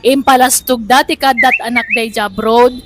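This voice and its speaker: High-voiced Filipino woman narrating. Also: steady tone, moderate pace, heavy background noise. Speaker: radio drama host and narrator. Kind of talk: scripted dramatic narration. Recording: music bed under narration.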